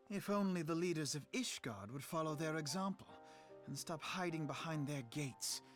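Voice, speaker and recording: An actor playing a sardonic, scholarly advisor in a fantasy game, speaking in an articulate, formal, wry way. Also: male voice, a little high, lightly acted. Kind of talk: dramatic video game voice acting.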